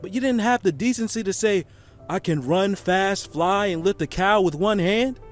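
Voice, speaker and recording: A bitter friend with a high voice played by a man in an audio drama, sarcastic and confrontational over a sense of betrayal. Light music sits behind. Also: normal speed, close to an everyday voice. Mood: bitter, accusing. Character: bitter, accusing friend who feels betrayed.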